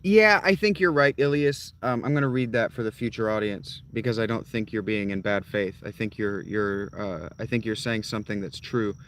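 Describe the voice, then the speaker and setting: Man, rambling, profane, lowish voice, chatting to live viewers. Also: moderate pace, few pauses, a bit bright, a little hiss or hum. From North Carolina. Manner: rambling, profane, talks straight to his chat. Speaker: live streamer. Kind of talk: casual chat with live viewers.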